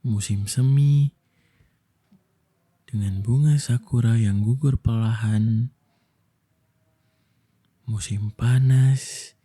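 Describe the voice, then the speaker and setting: Young male ASMR storyteller. Unhurried, very dark soft tone, soothing bedtime delivery. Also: mid-range voice. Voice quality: soft, breathy, husky. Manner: slow, gentle, soothing delivery with long pauses. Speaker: ASMR voice actor telling a bedtime story as a boyfriend.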